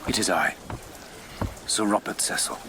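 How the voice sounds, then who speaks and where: A man plays a solemn, secretive statesman in a period radio drama. The voice is very deep, formal and guarded. There is clear water noise behind it. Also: measured pace, close to an everyday voice. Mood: ominous. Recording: noisy water ambience behind.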